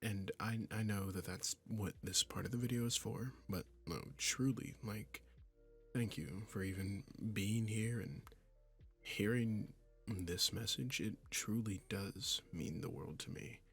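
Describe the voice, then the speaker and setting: A man's voice: lowish voice, measured pace, gravelly, a bit bright, husky, soft background music. A man who creates audio roleplays, talking casually and earnestly straight to his listeners. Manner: earnest, sincere. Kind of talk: casual outro addressed to listeners.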